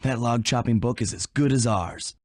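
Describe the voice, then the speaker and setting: A man's voice: mid-range voice, normal speed, a little mellow, no room sound, studio quality, natural voice. A man playing a cool, wisecracking master thief in a video game, with a wry, laid-back delivery.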